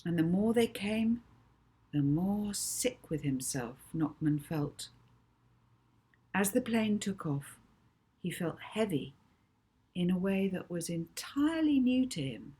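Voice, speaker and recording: Woman reading a kids book out loud, slow and dramatic, kinda husky. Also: lowish voice, big pitch swings. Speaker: author reading own novel aloud. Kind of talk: expressive children's audiobook narration.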